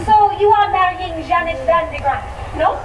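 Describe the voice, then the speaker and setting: A woman's voice: very high voice, normal speed, dull audio, background noise. Woman playing a coy showgirl faking French. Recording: distant, echoey stage sound with loud band.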